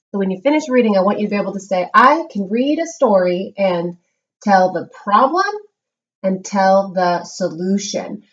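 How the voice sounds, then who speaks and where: A woman teacher giving a read-aloud lesson to young children, explaining simply and reading with expressive emphasis. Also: medium pitch, highs cut off. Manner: instructional, simple explanations with check-ins. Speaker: primary school reading teacher.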